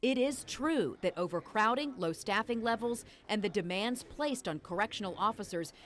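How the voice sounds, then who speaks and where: A woman's voice: mid-range voice, lively intonation, moderate pace, a little hiss or hum. A woman reporting the news, reading a scripted broadcast narration.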